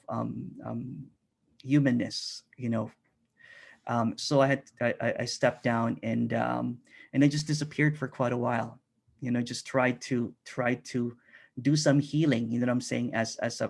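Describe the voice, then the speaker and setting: Guest spot: middle-aged man from the Philippines, gravelly, halting, restarts a lot, video call. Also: medium pitch. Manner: halting, many restarts and fillers. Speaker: interview guest. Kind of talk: reflective casual conversation.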